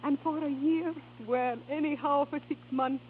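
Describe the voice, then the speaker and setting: Woman acting a high-voiced, theatrical opera diva. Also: fairly even intonation, moderate pace, lightly acted. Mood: dreamy, tender. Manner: theatrical, effusive. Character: temperamental, affectionate female opera singer, newly married, doting on her dog. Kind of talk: 1930s radio acting. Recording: scratchy, narrow 1930s radio broadcast.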